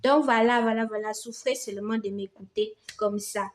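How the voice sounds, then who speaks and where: A woman's voice: fairly high pitch, steady tone, moderate pace. A woman from Gabon with a Gabonese French accent, a native Fang speaker, hosting a casual crochet tutorial in a reassuring, affectionate way. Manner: affectionate, reassuring, addresses viewers as "les amours".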